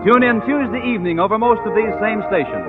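A man's voice: high voice, quick pace. An American man announcing an old-time radio show in a formal, polished, projected style. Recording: dull old radio recording. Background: music.

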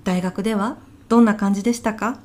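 A woman playing an elderly widow in an audio comedy sketch, polite and soft-spoken, with deadpan, bewildered reactions. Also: mid-range voice, quick pace, natural voice. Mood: puzzled.

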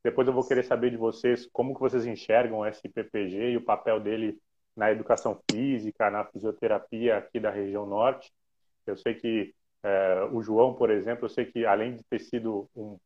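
A man hosting a casual podcast, relaxed and teasing, with lots of fillers. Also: lowish voice, dull audio. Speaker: podcast host.

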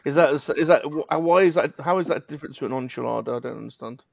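A man's voice: medium pitch, fast talker, creaky, dry recording, clean studio sound. A man co-hosting a live stream who banters with mock indignation in a casual group chat.

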